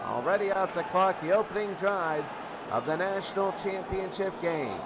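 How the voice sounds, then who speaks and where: A man's voice: high voice, animated, normal speed. A man doing live play-by-play American football commentary in short clipped phrases, repeating his calls as he follows the play. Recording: noisy recording with loud crowd din. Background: loud crowd noise.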